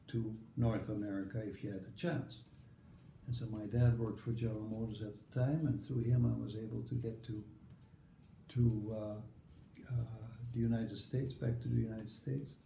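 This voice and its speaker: Older Dutch-accented grandpa, gravelly and dark, halting storyteller in a roomy space. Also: male voice, fairly low pitch, a little hiss or hum. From Netherlands. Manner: unhurried, halting with many uh fillers. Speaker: grandfather being interviewed. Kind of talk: casual family interview.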